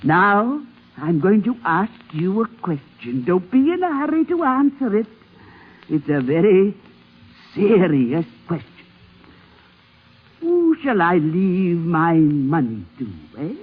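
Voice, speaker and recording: Husky, slow-talking ancient matriarch. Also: female voice, lowish voice, very animated, exaggerated voice. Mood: probing, shrewd. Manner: deliberate, imperious, needling questions. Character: very old matriarch grandmother, shrewd, fretful, commanding. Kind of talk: 1950s radio drama acting. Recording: vintage dull radio recording.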